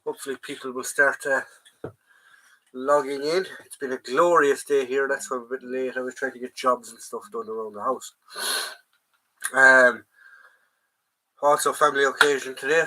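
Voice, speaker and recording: Irish guy with a head cold, sounds bunged up, bright voice, rambly live chat from home, says hi to everyone. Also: medium pitch, poor audio. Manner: rambling, greets viewers by name, many ums. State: stuffy nose from a head cold. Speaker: livestream host, collector.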